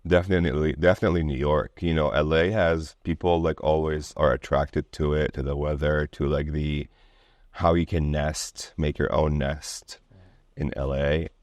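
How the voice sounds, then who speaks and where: Very deep-voiced man, casual and rambling. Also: fairly even intonation, moderate pace, a little mellow, dry recording. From Lebanon. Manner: rambling, informal, peppered with "like" and "you know". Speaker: interview guest, artist and DJ. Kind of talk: casual conversational interview.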